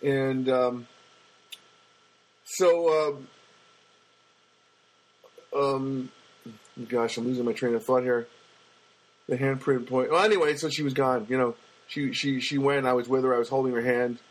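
A man with a booming voice telling a personal story in a casual monologue, halting often with fillers and restarts. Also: low-quality recording. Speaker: storyteller recounting a personal loss.

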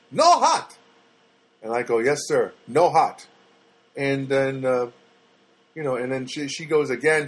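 A man's voice: on the high side, breathy edge. A man telling stories in a casual, rambling and sarcastic monologue, with many fillers and restarts.

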